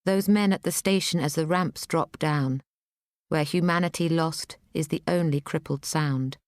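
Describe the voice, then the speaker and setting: A woman reading a poem aloud in a grave, deliberate recital style. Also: deep voice, a little mellow, no room sound, clean studio sound. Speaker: poetry reader.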